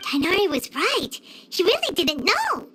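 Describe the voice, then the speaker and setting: Squeaky, very high childlike fairy companion. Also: female voice, wildly swooping pitch, measured pace, pushed and bright, slight background noise, heavily acted. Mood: earnest, chirpy. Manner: chirpy, refers to herself by name. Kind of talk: anime game voice acting.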